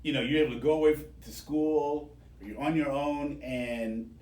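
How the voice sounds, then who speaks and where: A man's voice: a little high, fairly even intonation, normal speed, a little mellow. A man hosting a casual radio interview, talking informally to listeners and repeating points for emphasis. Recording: roomy, echoey sound.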